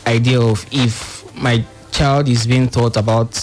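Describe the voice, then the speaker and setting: A man's voice: mid-range voice, flat delivery, a little slow, soft background music. A man with a Nigerian accent giving explanations as a radio guest, hesitant and often repeating phrases. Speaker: panel guest, monitoring and evaluation officer. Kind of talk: explanatory radio interview talk.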